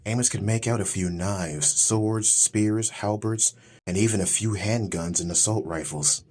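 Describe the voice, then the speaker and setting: A man's voice: on the low side, a little slow, a little mellow. A man reading a scripted, dramatic story as an audiobook narrator.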